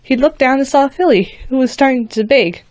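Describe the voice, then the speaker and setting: A woman's voice: high-pitched, fairly quick, a little mellow, no echo. Woman reading rhyming verse aloud. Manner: stumbles and restarts on words. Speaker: fanfiction reader.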